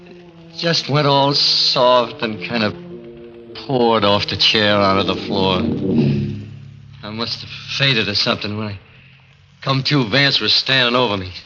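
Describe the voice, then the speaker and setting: An actor playing a frightened, jittery working man on the run in 1940s radio horror, stammering and halting in plain colloquial speech. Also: fairly low pitch, lightly acted. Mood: horrified, dazed. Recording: muffled vintage 1940s radio recording.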